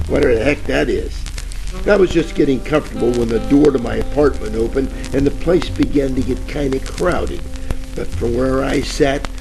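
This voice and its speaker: A man playing a wisecracking, hapless private eye in a parody of old radio detective drama, with deadpan delivery. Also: medium pitch, vocal fry. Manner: deadpan, wisecracking first-person narration. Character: wisecracking hardboiled male gumshoe, hapless and flirty. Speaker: narrator and lead actor. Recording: crackly old-time radio with music.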